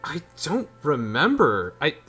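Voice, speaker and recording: A man's voice: a little high, wildly swooping pitch, unhurried, a bit bright. A young man hosting a gaming video, chatting casually and enthusiastically as he comments on the play. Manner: enthusiastic, gushing. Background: game music.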